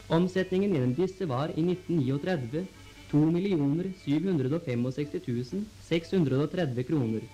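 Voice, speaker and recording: A man reading scripted 1940s newsreel narration in a formal, measured announcer style on an old film soundtrack. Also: on the high side, warm tone, poor audio. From Norway.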